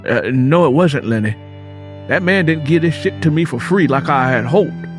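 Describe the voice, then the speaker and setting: A man acts out a tired, worried rural working man in an audiobook, speaking in folksy vernacular. The voice sits fairly high and swings in pitch, plaintive, with faint music behind. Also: animated, normal speed, natural voice. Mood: worried, indignant. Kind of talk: audiobook character dialogue.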